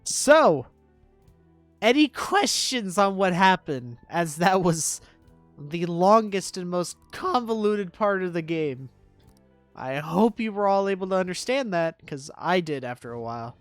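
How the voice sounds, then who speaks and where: A man's voice: high voice, big pitch swings, a little slow, dry recording, faint music behind. A man giving casual live commentary as a game streamer, with wry, informal asides.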